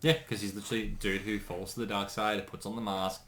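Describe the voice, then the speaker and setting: A man co-hosting a casual commentary, chiming in with quick quips and references. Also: fairly low pitch, little pitch movement, a bit bright. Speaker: co-host on commentary.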